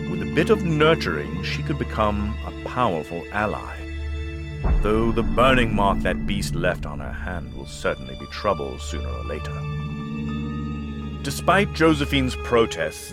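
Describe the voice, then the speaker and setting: An actor playing an ancient, scheming dark lord in an audio drama, with a pompous, formal and menacing delivery. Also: male voice, low-pitched. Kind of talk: theatrical audio drama villain monologue. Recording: music behind the voice.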